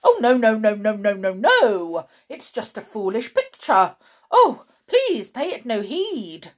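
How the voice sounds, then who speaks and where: A woman voicing a polite, fussy, greedy old gentleman tramp in a children's storybook. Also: on the high side, very animated, takes it slowly, voice pushed up, exaggerated voice. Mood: flustered. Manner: fussy, courteous, stammering when flustered.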